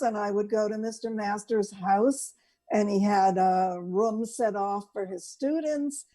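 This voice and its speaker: A woman co-hosting a casual talk, telling personal stories in a halting, deliberate way. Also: on the high side, warm tone. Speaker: co-host.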